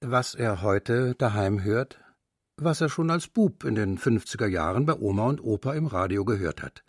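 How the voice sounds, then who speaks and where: A man's voice: lowish voice, animated, normal speed, husky, no room sound, studio quality. A man narrating a radio feature in a composed manner. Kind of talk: scripted narration.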